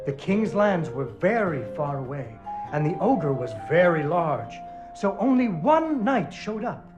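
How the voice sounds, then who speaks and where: A man's voice: on the high side, big pitch swings, a little slow. A man voicing a playful puppet-show storyteller in a video game, telling a fairy tale in a theatrical, sing-song way, with music playing underneath. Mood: storytelling.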